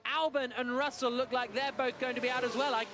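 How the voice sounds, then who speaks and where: A man's voice: very high voice, fairly even intonation, fairly quick, over loud music. A man giving live motor racing commentary, with race cars and track ambience behind him.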